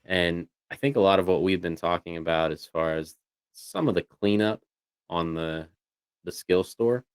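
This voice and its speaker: Deep voiced guy, clean and smooth, rambling casual stream chat, really dry close sound. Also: moderate pace, dry recording. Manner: rambling, hedging with many "you know"s. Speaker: co-host of a voice tech show.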